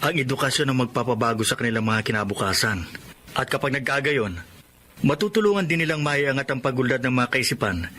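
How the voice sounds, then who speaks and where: Radio drama role: hopeful young teacher, forceful bright tone, mid pitch, faint noise. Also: male voice, lively intonation, a little fast. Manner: earnest, hopeful. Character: idealistic, sincere young volunteer teacher, Julian.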